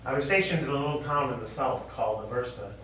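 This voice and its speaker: Heard from afar in a heavily echoing, noisy room, a grieving middle-aged American veteran played by a man talks in a stage play. He is reserved and deliberate, halting as he goes, with a subdued delivery. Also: mid-range voice, a bit bright, close to an everyday voice. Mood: wistful, hesitant. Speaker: stage actor. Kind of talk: live stage play dialogue. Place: small indoor theatre studio, recorded from audience distance. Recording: very echoey, distant, noisy stage recording.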